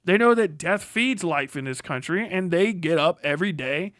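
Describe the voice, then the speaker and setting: American male livestream commentator, higher-pitched voice, dry close mic, combative and foul-mouthed. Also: expressive, moderate pace, fairly bright tone, no room sound. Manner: profane, blunt and combative. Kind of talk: unscripted political reaction rant on a livestream.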